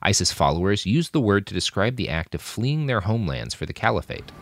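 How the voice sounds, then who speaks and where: A man narrating a documentary podcast in a measured, storytelling manner. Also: lowish voice, big pitch swings, fairly bright tone, dry recording, studio quality.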